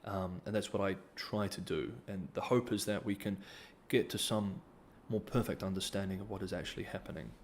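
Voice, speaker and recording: A man's voice: low voice, fairly quick, few pauses, a little hiss or hum. A man, hosting a casual livestream Q&A and reading donor comments, hesitant, with many ums and restarts. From New Zealand. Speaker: livestream host.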